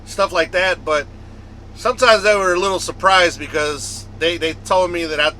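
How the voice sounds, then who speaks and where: A man with a bright, fairly high voice talks casually to his vlog viewers. He is a trucker from the United States and rambles along conversationally, often repeating himself. Faint vehicle and fan noise sits behind him, as inside a truck cab. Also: normal speed, poor audio. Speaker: trucker vlogger.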